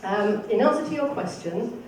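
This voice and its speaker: A council candidate in a debate, speaking in a measured, explanatory way. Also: medium pitch, lively intonation. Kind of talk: debate speech. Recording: echoey hall, far from mic, noise.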